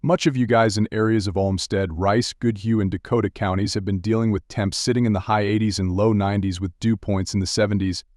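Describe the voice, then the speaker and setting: Male TV meteorologist, played by an actor, lowish pitch, dry sound. Urgent but steady live storm coverage, direct and instructive. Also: fairly quick, hardly any pauses, no room sound, close to an everyday voice. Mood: serious, measured. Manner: direct, instructive, addressing viewers. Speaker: TV weather broadcaster. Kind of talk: live severe weather broadcast commentary.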